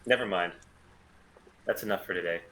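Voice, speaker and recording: A man's voice: low voice, normal speed, fairly bright tone, a little hiss or hum. A man playing a terse, weary starship pilot in an understated script read, speaking laconically. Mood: resigned. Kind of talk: fan script table read, understated acting.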